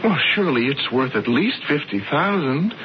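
An actor playing an ardent, penniless young American sculptor, emphatic and insistent, in a 1970s radio drama. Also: male voice, fairly high pitch, very animated, normal speed, highs cut off, a little hiss or hum. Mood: earnest pleading. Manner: emphatic, impassioned, repeats words. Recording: band-limited old radio broadcast, music behind.

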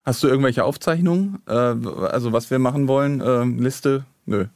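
A man's voice: medium pitch, moderate pace, darkish tone, slight background noise. A man co-hosting a podcast in casual chat, rambling along with dry humour in a studio. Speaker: podcast co-host. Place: recording studio.

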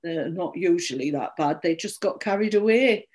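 A woman's voice: on the low side, expressive, moderate pace, darkish tone, pitched below the performer's own voice, lightly acted. A woman voicing a nervous, apologetic teenage boy in a story, meek and hesitant, with a stammer.

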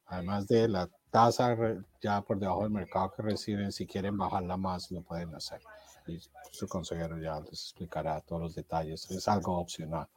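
A man's voice: low-pitched, flat delivery, normal speed. A man who directs a program, speaking in casual explanatory talk and jumping in to correct and add details.

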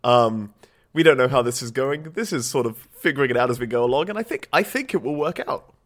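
A man co-hosting a casual podcast from his small flat, rambling a little with ums and restarts. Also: medium pitch, quick pace. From Australia. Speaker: podcast co-host. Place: small home flat, blanket around the mic. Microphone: home podcast microphone.

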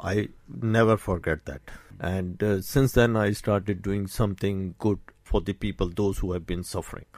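A man in his sixties with a Pakistani accent talks in a radio studio interview. His voice sits low, and the sound is dry and close. He speaks haltingly, with frequent pauses and fillers. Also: fairly even intonation, a little mellow, no room sound. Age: 60s. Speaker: guest, doctor and painter.

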